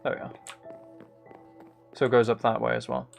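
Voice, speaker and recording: Male streamer, medium pitch, soft-spoken and musing, casual think-aloud game chat over background music. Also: monotone, normal speed, gravelly. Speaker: livestream host. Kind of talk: casual gaming livestream chat, thinking aloud while building.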